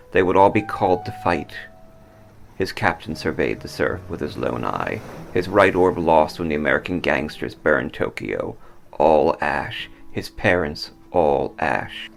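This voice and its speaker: A man narrating a scripted story dramatically, with solemn, deliberate emphasis. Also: extremely low pitch, creaky, a little hiss or hum. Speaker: author reading own story.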